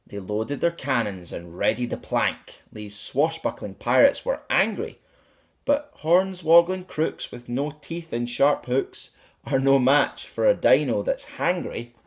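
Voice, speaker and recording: Male storyteller for a children's picture book: animated rhyming read-aloud, playful, theatrical, mid-pitched. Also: a little slow, dull audio. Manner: playful, theatrical, sing-song rhythm.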